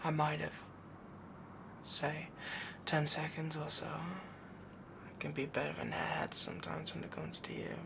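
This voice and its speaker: A possessive, lovestruck werewolf boyfriend, acted by a man for intimate roleplay audio. He murmurs slowly and evenly in a soft, breathy whisper that breaks into gravelly fry. He sounds dominant yet adoring, with clear noise behind the voice. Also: fairly high pitch, flat delivery. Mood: adoring, tender.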